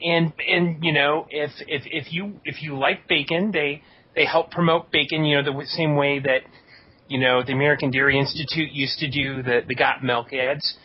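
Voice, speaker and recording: A man co-hosting a podcast with casual banter, halting and repeating words as he goes. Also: steady tone, breathy edge. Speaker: podcast co-host. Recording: dull lo-fi audio with rumbling noise.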